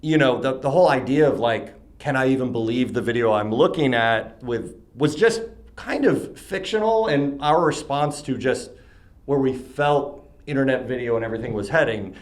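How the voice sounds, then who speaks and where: Earnest man with a mid-pitched voice. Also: lively intonation, moderate pace. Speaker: filmmaker being interviewed. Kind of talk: casual interview conversation.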